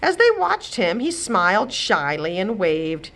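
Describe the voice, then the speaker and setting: Woman doing kids storytime narration, very expressive, pitch moves around a lot. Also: a little slow, creaky. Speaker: children's library read-aloud reader.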